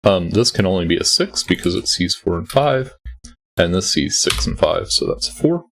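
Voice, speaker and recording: A man hosting a sudoku walkthrough, gravelly and nearly losing his voice, explaining each step patiently with many ums. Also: low-pitched, lively intonation, normal speed, fairly bright tone. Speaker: sudoku puzzle setter and walkthrough host. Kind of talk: explaining a puzzle solve.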